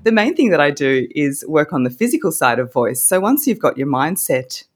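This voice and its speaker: An Australian woman talks through her advice in an easy, animated way, laughing now and then. Her voice sits low and a touch husky. She sounds like a voice coach chatting on a call. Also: normal speed. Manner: warm animated explainer, laughs often. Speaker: voice coach guest. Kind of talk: conversational interview.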